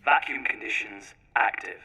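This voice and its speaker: A man's voice: fairly low pitch, moderate pace, sharp tone, breathy edge, recorded from a distance, faint music behind, full character voice, low-quality recording. Man voicing a harsh, piercing automated facility announcer. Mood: impersonal. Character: facility announcement system, flat and official.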